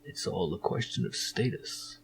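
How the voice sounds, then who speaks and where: A man voicing a cryptic, serene stranger in an adventure game, speaking in a slow, hushed, enigmatic way. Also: lightly acted.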